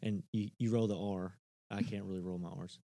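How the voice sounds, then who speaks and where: A man's voice: deep voice, fairly even intonation, brisk, creaky, warm tone, dry recording. A man, a church member, talking earnestly in casual conversation, often stopping and restarting.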